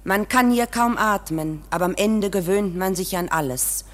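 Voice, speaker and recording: A woman's voice: medium pitch, moderate pace, close to an everyday voice. Actress as composed, reassuring office woman. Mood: calm, reassuring. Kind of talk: German radio play acting.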